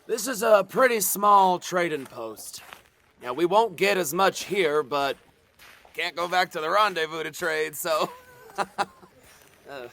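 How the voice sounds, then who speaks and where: Cocky young man, very animated delivery. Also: high voice, takes it slowly, vocal fry, long pauses, full character voice. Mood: casual. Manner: talkative, wheedling, stammers when challenged. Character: chatty, cocky young New Orleans fortune seeker. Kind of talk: audio drama acting.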